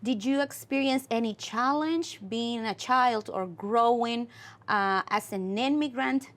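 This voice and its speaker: A woman hosting an interview, asking questions in simple, sometimes halting phrasing. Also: on the high side, a bit bright. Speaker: host.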